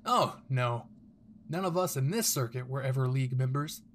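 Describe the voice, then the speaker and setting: A man's voice: mid-range voice, normal speed, a little hiss or hum, close to an everyday voice. A man voicing a cruel, sneering male villain henchman in an anime fan audio drama, theatrically mocking and taunting.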